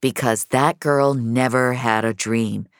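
A woman's voice: extremely low pitch, steady tone, slow, a little hiss or hum, heavily acted. Woman voicing a firm, earnest male agent. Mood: reassuring. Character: loyal, protective male agent colleague.

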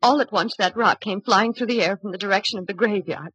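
A woman's voice: mid-range voice, quick pace, husky, no echo, low-quality recording. An actress playing an anxious, defiant young working woman in a melodramatic old-time radio drama. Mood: nervous, earnest. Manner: excitable, emphatic.

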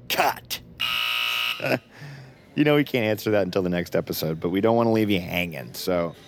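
A male actor plays a flippant showman in comic audio fiction. His medium-pitched voice is breezy, casual and joking, easily flustered, with music clearly audible behind him. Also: close to an everyday voice.

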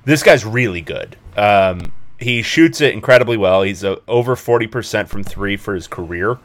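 A man with a fairly low voice chats casually about sports as a podcast co-host. He talks analytically, in a conversational way. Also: lively intonation, normal speed.

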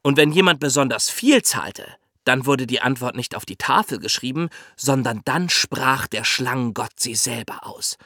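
Male podcast explainer, bright tone, very lively intonation, colloquial storytelling style. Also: mid-range voice, moderate pace, few pauses, no echo, clean studio sound. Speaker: podcast host explaining history.

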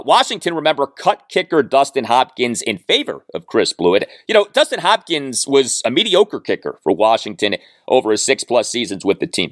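Animated male sports podcast host, dry studio sound. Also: medium pitch, dry recording. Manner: emphatic, deliberate phrasing with stressed words. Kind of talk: sports commentary monologue with read-out listener mail and ad reads.

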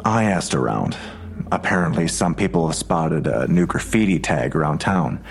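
An actor playing a terse, skeptical police detective in an audio drama, with a dry, deliberate, understated way of talking. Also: male voice, very deep voice, animated, creaky, slight background noise, heavily acted. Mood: measured, wary. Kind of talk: full-cast audio drama dialogue.

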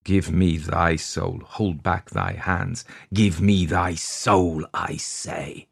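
Man as grizzled old man, storybook villain, merciless, ominous, commanding, very slow, gravelly. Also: low voice, fairly even intonation, exaggerated voice. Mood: menacing.

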